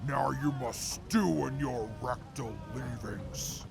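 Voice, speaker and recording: A man voices a haughty, mocking male sidekick in a wasteland audio drama. He talks slowly in a heavy gravelly creak, formal and wordy, with music underneath. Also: medium pitch. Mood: smug, gloating. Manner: pompous, formal, drawn-out, verbose.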